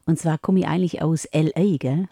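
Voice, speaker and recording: A woman's voice: low voice, fairly even intonation, brisk, warm tone, husky, no room sound, clean studio sound. A middle-aged woman from Upper Swabia with an Upper Swabian accent, chatting as an interview guest and hesitating with many fillers. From Upper Swabia, Germany. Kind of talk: casual interview chat.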